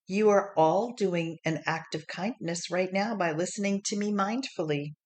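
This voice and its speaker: A woman voicing a kind, patient female primary school teacher in a children's storybook, explaining gently and encouragingly. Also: on the low side, moderate pace, lightly acted.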